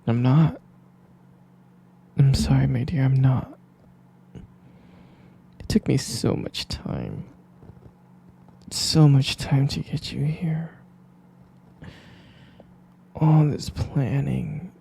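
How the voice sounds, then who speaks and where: A man whispers in a dark, very gravelly voice, playing an obsessive captor in an ASMR roleplay. His delivery is slow, breathy and halting, tender yet possessive, as he coaxes and repeats himself. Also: medium pitch, fairly even intonation. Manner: slow, halting, repetitive, coaxing.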